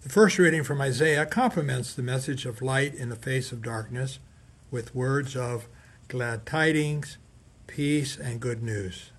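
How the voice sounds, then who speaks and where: A man with a husky, slightly rough voice at a middling pitch reads a scripted religious reflection. He speaks deliberately, setting phrases apart with pauses and leaning on key words for emphasis. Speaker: priest giving a scripture reflection. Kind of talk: scripted sermon reflection read aloud.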